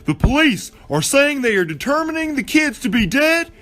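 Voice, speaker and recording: A man playing an excitable male breaking-news anchor in a comedy skit, delivering mock-serious bulletins with drawn-out words. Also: very high-pitched, heavily acted. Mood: mock urgent. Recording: roomy echo with faint music.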